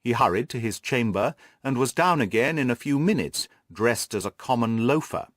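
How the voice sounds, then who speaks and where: Man, audiobook narration, literary storytelling, measured, lively intonation, mid-pitched, dry close recording. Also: no room sound.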